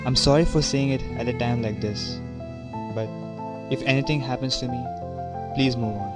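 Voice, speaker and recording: A man's voice: medium pitch, steady tone, normal speed, natural voice. An earnest, self-sacrificing man in love, played by a male actor speaks in a mid-range voice. It is an amateur audio drama with music running underneath. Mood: tender, serious. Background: music bed.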